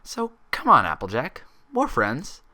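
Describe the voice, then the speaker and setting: Man putting on a higher-pitched, inquisitive unicorn mare. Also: huge pitch swings, bright, forceful tone, exaggerated voice. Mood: pressing, suspicious. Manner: questioning, precise, measured. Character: inquisitive, persistent young unicorn mare, sharp and earnest.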